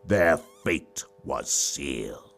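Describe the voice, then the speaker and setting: A man reading a story as a dramatic narrator, with a theatrical, mock-grave delivery and deliberate dramatic pauses. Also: a bass voice, animated, creaky, fairly bright tone, faint music behind.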